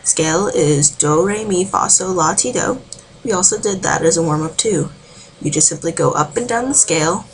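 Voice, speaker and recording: A woman giving a casual, rambling vocal warm-up tutorial from home, full of filler words. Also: lowish voice, normal speed, a little hiss or hum, rough recording. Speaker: amateur singing tutor.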